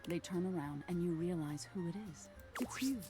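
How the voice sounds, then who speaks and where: A woman voicing an eerie nightmare spirit in a video game, telling a soft, ominous tale in a hushed, whispery voice. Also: lowish voice, lively intonation, music in the background, lightly acted. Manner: slow, soothing, ominous storytelling. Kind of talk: game character narration.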